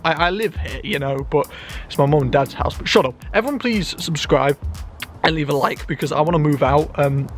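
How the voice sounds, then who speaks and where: A young man with a fairly high voice talks loudly and chattily to camera, poking fun at himself as he goes. He is recording outdoors in an enclosed garden at night. His voice carries a slight echo off the surrounding trees. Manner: loud and chatty, self-mocking. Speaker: YouTuber. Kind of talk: casual vlog monologue.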